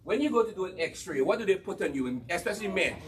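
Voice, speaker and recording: Guyanese man pitching cookware to a crowd. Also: quick pace, far from the mic. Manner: energetic, asks the audience questions and repeats key phrases. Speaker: cookware presenter at a church gathering. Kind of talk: live demonstration talk to an audience.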